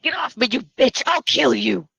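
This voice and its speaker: A woman playing a mad, taunting young female killer in a horror table read, mocking and drawn-out. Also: a little high, lively intonation, fairly bright tone, no room sound. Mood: furious. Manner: mocking, drawn-out, punctuated with laughter.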